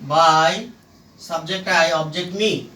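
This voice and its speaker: A grammar teacher giving a scripted English lesson in deliberate, short, separated instructional phrases, recorded at a distance in an echoey room. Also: mid-range voice, fairly even intonation, pushed and bright, slight background noise.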